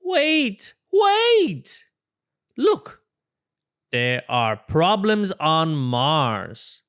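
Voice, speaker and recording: A storybook reader voicing a worried, exasperated little rabbit, protesting with expressive emphasis. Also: mid-range voice, very slow, clear, resonant tone, exaggerated voice. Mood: urgent, eager.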